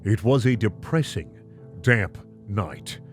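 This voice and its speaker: Guy reading fan fiction and reacting, super animated and sarcastic, music going behind him. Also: fairly low pitch, slow. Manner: mock-dramatic, sarcastic reactions. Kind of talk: comedic dramatic reading with casual commentary.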